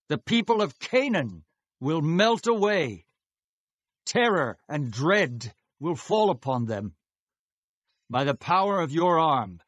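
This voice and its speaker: A man's voice: on the high side, a bit bright, dry recording, exaggerated voice. A man acting a devout, fervent Israelite in a dramatized scripture reading, speaking in a solemn, declamatory manner.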